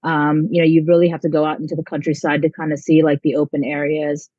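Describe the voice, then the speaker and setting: A woman's voice: low-pitched, monotone, quick pace, dark tone, dry recording. A young American woman, a volleyball coach, answering interview questions in a casual, chatty way with many ums and likes. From United States. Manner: chatty, lots of um and like. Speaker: volleyball head coach, guest. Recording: dull lo-fi dry sound.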